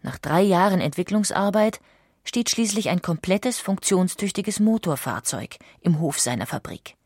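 Low-pitched woman narrating, dry studio sound. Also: expressive, normal speed, darkish tone, husky, dry recording. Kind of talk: scripted documentary narration.

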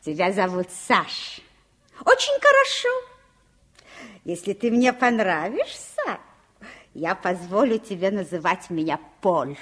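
An actress playing a kindly, theatrical French governess, strict yet playful, in an expressive, gently lecturing radio play style. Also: female voice, mid-range voice, takes it slowly, pauses often, full character voice. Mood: playful, gracious. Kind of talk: Soviet radio play acting.

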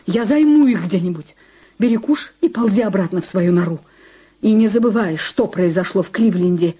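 An actress in an audio drama playing a hot-tempered, defiant film star with a husky voice. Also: female voice, medium pitch, normal speed, dark tone, close to an everyday voice. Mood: cold warning.

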